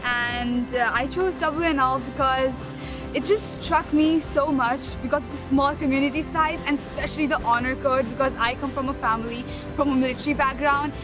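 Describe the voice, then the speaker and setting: Young woman from India, high voice, student testimonial, music behind. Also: steady tone, normal speed. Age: young adult. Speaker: new college student. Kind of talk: casual testimonial to camera.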